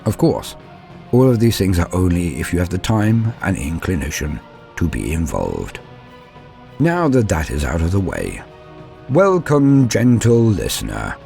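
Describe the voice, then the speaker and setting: Deep-voiced man narrating lore theatrically. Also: wildly swooping pitch, gravelly, warm tone, faint music behind. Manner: theatrical, measured, formal. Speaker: host of a Warhammer lore guide. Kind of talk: scripted dramatic lore narration.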